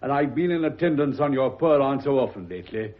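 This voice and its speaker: A man playing an older doctor from a radio play, avuncular and self-assured. His mid-pitched voice moves about freely, and the recording is dull. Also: expressive, quick pace, lightly acted. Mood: genial, assured. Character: genial, avuncular older country doctor and coroner. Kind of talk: British radio drama acting.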